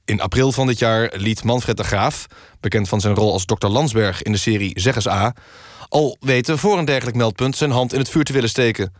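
A man playing an earnest radio news presenter, reading scripted satirical items in a formal, deadpan way. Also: mid-range voice, fairly quick, no room sound, clean studio sound, close to an everyday voice.

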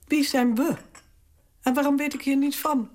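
A woman's voice: high voice, fairly even intonation, normal speed, a little mellow, natural voice. An actress playing an aging, strong-willed queen mother in a radio drama, talking in an imperious, indignant way. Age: older.

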